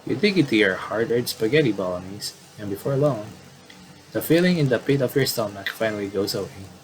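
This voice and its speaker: A man's voice: lowish voice, animated, fairly quick, background noise. A man commentating on a game playthrough, casually reading story text aloud and often stumbling and rereading phrases.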